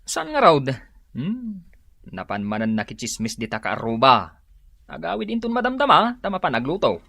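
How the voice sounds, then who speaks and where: Casting: stubborn Ilocano tippler and dependent son, radio comedy drama, drawling, animated pitch, dry close sound. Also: male voice, normal speed, creaky, no room sound, heavily acted. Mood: casual. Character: Fredo, stubborn hard-drinking grown son who relies on his mother.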